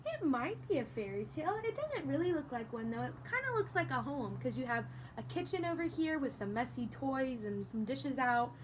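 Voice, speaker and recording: A woman teaching young children through an interactive read-aloud, animated and exaggerated, prompting with questions and praise, with some background noise. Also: high voice, moderate pace, hardly any pauses, low-quality recording. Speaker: teacher reading a picture book aloud to young pupils.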